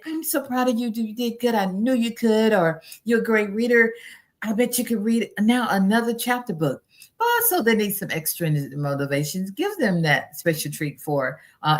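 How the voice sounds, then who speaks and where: A middle-aged woman speaking as a guest expert, giving earnest, instructive advice in a conversational interview style. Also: mid-range voice. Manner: earnest, instructive, listing practical tips.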